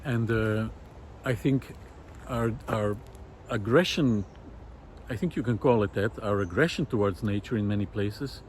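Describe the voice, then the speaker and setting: A man speaking a reflective, unscripted monologue, thoughtful and halting as he searches for words. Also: fairly low pitch, darkish tone. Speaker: host of a nature project podcast. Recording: outdoor city noise, wind, distant traffic. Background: faint city noise, wind, vehicles.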